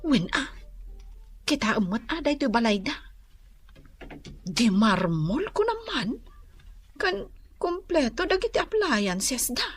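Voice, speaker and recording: A woman's voice: high voice, very animated, slow pace, long pauses, slightly rough, soft background music, heavily acted. An actress with an Ilocano Filipino accent playing a chatty, teasing married woman in a radio drama. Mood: friendly, impressed. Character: chatty, teasing young married woman visiting an old classmate.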